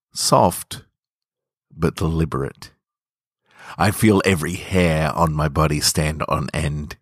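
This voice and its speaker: A man's voice: rumbling low, no room sound, studio quality. A man hosting a podcast and reading their own short fiction. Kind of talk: casual podcast introduction, then slow narrated fiction reading.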